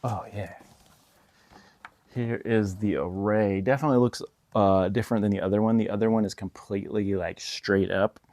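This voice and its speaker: Low-voiced man, slightly gravelly, casual reviewer. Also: steady tone, moderate pace, darkish tone. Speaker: product reviewer.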